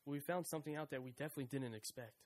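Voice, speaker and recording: A man's voice: mid-range voice, rapid-fire, background noise, lightly acted. A young man voicing a cocky, wisecracking slacker who talks in laid-back slang. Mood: joking. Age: young adult. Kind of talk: audio drama acting and narration.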